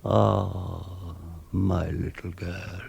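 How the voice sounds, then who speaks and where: An actor playing an ancient vampire count in a radio play, melancholy, sinister and grandly theatrical, savouring each macabre, poetic word. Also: male voice, sits low, little pitch movement, drawn-out words, gravelly, a little mellow, highs cut off, a little hiss or hum, heavily acted. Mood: brooding, mournful. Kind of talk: 1970s radio play narration.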